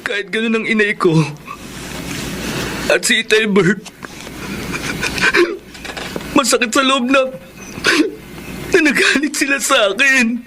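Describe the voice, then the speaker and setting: Gentle young man, polite, pleading, very high voice, noisy radio drama. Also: slow, long pauses. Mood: sorrowful.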